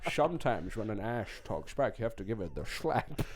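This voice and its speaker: A man's voice: on the low side, very animated, moderate pace, a little hiss or hum. A man from Romania whose accent mixes Eastern European, London and a little Scottish, co-hosting a comedy podcast in a heated, sweary way. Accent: mix of Eastern European, London and a little Scottish. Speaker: podcast co-host. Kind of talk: casual comedy podcast chat.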